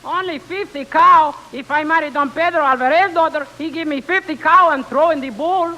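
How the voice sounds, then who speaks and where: A man's voice: very high voice, moderate pace, bright, forceful tone, heavily acted. A man playing a boastful, dim young Mexican suitor with a mock Mexican accent and broken English in a 1940s radio comedy. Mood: eager, haggling. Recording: crackly old radio recording.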